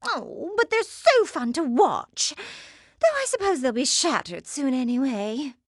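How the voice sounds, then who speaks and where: A woman voicing a vain, gushing gem queen villain in an animated game, theatrical and condescending. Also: sits high, measured pace, no room sound, studio quality. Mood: amused, mocking.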